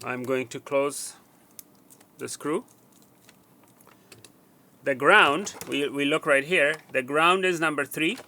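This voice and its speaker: A man giving a hands-on wiring walkthrough, halting with fillers and restarts, counting pins aloud as he points. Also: on the high side, pushed and bright, a little hiss or hum. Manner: halting, with frequent fillers and restarts while working. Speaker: technician demonstrating wiring.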